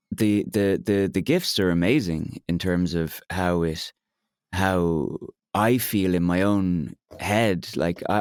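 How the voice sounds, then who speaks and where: A young man from Ireland with an Irish accent, a bit hoarse today, talking openly in a therapy podcast and pausing often with fillers and restarts. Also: deep voice, a little mellow, no echo, studio quality. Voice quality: hesitant, many fillers and restarts. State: slightly hoarse from shouting. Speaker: podcast co-host, client. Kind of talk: candid therapy conversation.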